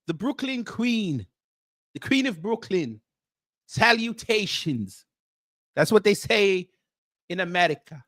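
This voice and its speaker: A man's voice: high-pitched, very animated, takes it slowly, pauses often, fairly bright tone, dry recording, studio quality, full character voice. A man putting on a Nigerian accent to play a grandiose, formal, self-proclaimed prince who hosts a livestream and greets viewers one by one. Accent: put-on Nigerian. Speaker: livestream host. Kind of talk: comedic character livestream.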